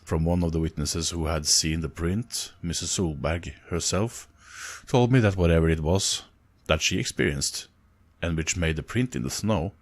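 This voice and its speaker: A man with a Norwegian accent reading sighting reports aloud in an unhurried way, breaking off for casual, halting comments. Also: low voice. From Norway. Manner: unhurried, halting in asides, chuckles at own slips. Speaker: narrator of UFO reports.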